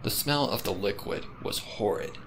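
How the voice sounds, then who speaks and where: A man's voice: fairly low pitch, normal speed, background noise. A man reading a story aloud as a narrator, with dramatic scripted delivery. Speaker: fan fiction narrator.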